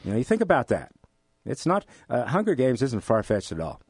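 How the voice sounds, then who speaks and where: An American man of mature years speaking as an economics professor and interview guest, explaining things in a deliberate, emphatic way. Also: mid-range voice, wildly swooping pitch, darkish tone, slightly rough, no room sound, clean studio sound. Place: radio studio.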